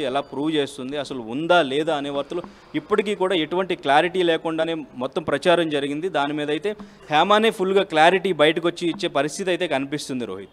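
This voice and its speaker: A man giving a live, unscripted news report in long run-on explanations. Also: a little high.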